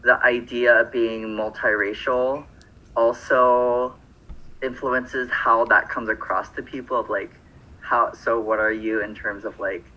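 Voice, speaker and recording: A man's voice: mid-range voice, little pitch movement, measured pace, muffled recording. A man from Phoenix co-hosting a podcast, chatting casually and rambling through lots of fillers and self-corrections. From Phoenix, Arizona. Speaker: podcast co-host.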